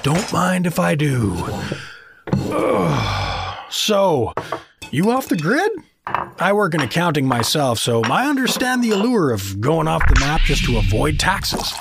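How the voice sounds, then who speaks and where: A man's voice: on the high side, huge pitch swings, slow, vocal fry, noisy background, exaggerated voice. A man playing a chatty, oblivious middle-aged American dad who makes affable, corny small talk in an audio fantasy comedy. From rural South Dakota.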